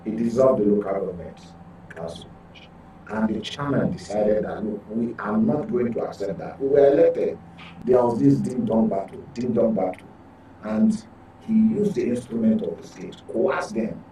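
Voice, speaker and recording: A man's voice: lowish voice, normal speed, warm tone, recorded from a distance, a little hiss or hum, rough recording. A man with a Nigerian accent giving political commentary, often repeating and correcting his words as he goes. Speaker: current affairs commentator.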